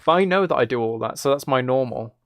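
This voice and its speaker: A man's voice: medium pitch, animated, fast talker, no room sound. A man chatting casually as he streams a game, rambling along in a self-deprecating way. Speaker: live streamer. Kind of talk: casual gaming livestream chat, commentary.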